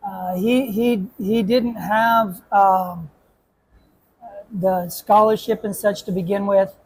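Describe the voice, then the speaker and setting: A man's voice: sits high, steady tone, slow pace, slight background noise, poor audio. A man, a basketball coach, hosting a casual chat and asking questions with a joking manner and many restarts.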